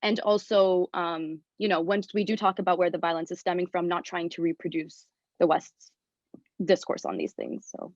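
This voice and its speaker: A woman's voice: on the low side, lively intonation, moderate pace, darkish tone, no echo. A woman from Syria asks a question over a dull, lo-fi online call with a dry sound. She speaks hesitantly, searching for her words as she thinks aloud. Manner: searching, hesitant, full of fillers. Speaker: audience member asking a question.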